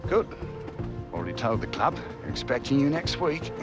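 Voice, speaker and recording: A man's voice: mid-range voice, sing-song, moderate pace, loud music behind the voice, natural voice. An actor playing a middle-aged father, dry but caring, in a video game cutscene.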